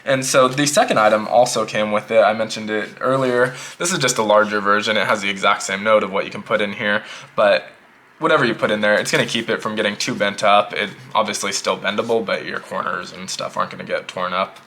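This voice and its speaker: Guy reviewing edc gear, kinda hoarse cause he's sick, sharp bright voice, laid back and rambly. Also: fairly low pitch, quick pace, slight background noise. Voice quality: slightly hoarse. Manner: relaxed, rambling, repeats himself. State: losing his voice, a bit sick. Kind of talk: casual product review.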